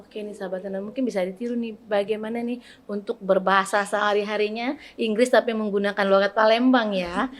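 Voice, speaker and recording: A woman's voice: medium pitch, steady tone, a little fast, a little hiss or hum. A woman hosting a podcast, chatting casually with guests and encouraging listeners in a friendly, filler-rich way. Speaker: podcast host. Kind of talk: casual interview chat.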